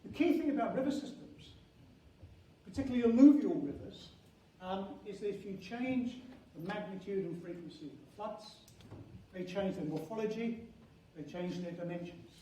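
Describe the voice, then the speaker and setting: An older man giving an academic conference lecture as a professor, halting and restarting phrases, with emphasis on key words. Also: sits high, lively intonation, dark tone. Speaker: university professor and head of geography school. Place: large echoing conference venue indoors. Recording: very echoey hall, distant mic, faint noise.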